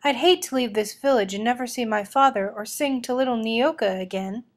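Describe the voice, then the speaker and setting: Woman playing gentle, earnest young village woman. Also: fairly high pitch, brisk, close to an everyday voice. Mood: wistful.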